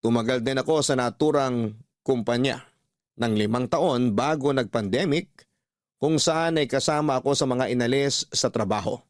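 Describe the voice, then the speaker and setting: A man's voice: medium pitch, moderate pace, no echo. Dry-sounding Filipino male radio storyteller. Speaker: narrator. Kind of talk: scripted first-person storytelling, radio style.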